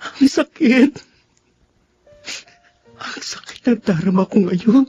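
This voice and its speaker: An actor playing an anguished son afraid to confess a secret, in a pained, brooding radio drama monologue. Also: male voice, very high voice, lively intonation, slow, dark tone, slightly rough, dull audio, faint music behind, full character voice. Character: anguished son hiding his true identity from father.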